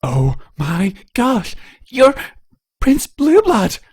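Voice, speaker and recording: A man voicing an excitable, star-struck young girl pony in a storybook reading, gushing like a valley girl. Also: extremely high pitch, drawn-out words, breathy edge, no room sound, voice pushed up, full character voice. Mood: squealing excitement.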